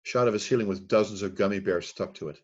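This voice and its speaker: Casual: low husky guy reading out scene directions, muffled dull recording. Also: quick pace. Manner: plain, steady reading of scene headings and action. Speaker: narrator of a screenplay reading. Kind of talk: reading stage directions aloud.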